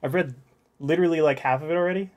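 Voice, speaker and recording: A man's voice: on the high side, racing. Enthusiastic man chatting casually. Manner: enthusiastic, upbeat. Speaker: podcast host and game master. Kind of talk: casual chat.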